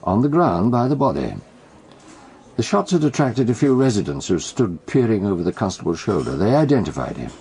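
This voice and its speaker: Gravelly, brooding British male storyteller. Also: fairly low pitch, big pitch swings, dark tone. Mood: suspenseful. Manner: deliberate, atmospheric, dramatic phrasing. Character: brooding male storyteller recounting crimes, theatrical and enigmatic. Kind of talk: radio drama acting.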